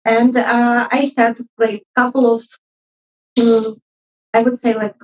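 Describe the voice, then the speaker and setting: A woman with a fairly high, rather even voice speaks English as a second language. She is hesitant and apologetic as she offers informal feedback. She sounds distant from the microphone. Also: slow pace. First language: not English. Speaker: audience member, junior UX designer. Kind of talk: informal spoken feedback and questions. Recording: muffled live event audio, distant mic.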